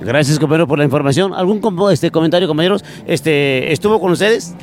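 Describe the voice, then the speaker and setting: Mexican guy interviewing at a protest for community radio, slightly high voice, faint street noise. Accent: Mexican Spanish. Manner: halting, with repeated "este" fillers.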